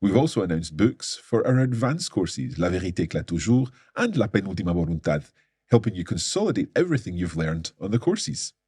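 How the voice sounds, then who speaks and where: A man's voice: low-pitched, big pitch swings, a little fast, a little mellow, husky, no echo, clean studio sound. A man presenting a scripted company announcement with upbeat enthusiasm.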